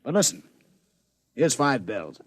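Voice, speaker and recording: A man's voice: mid-range voice, very animated, unhurried, husky, no echo. An actor playing a brash, wisecracking male casino boss in a 1950s radio drama. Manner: brash, wisecracking, laughs a lot. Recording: dull old radio broadcast.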